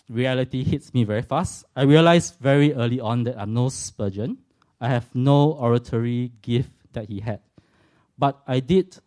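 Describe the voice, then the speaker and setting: A Singaporean man in his forties tells his faith story to a church audience. His voice is mellow and warm. He speaks earnestly and thoughtfully, now and then poking gentle fun at himself. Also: mid-range voice. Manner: earnest and reflective, with light self-deprecating humour. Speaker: speaker giving a personal testimony.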